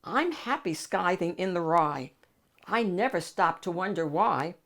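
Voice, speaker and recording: A woman's voice: lowish voice, expressive, unhurried, a bit bright. A simple, blithe peasant man from a picture book, acted by a woman. He mumbles along cheerfully in a sing-song way, taking his time. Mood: blithe, timid. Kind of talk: children's picture book read-aloud.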